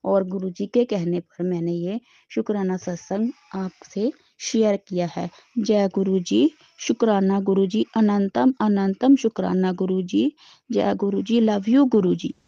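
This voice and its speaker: A middle-aged woman with a sore throat shares a personal spiritual testimony, telling it in a slow, devout way with frequent restarts. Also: medium pitch, vocal fry, dark tone, no room sound, lo-fi sound. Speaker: devotee sharing a testimony.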